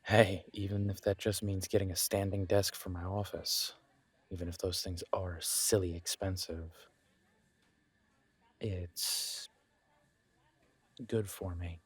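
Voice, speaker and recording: A man playing a friendly, reassuring homebody best friend in an intimate one-sided roleplay audio, talking casually in halting, gently encouraging phrases. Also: deep voice, flat delivery, breathy edge, no echo, exaggerated voice. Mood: gentle, reassuring.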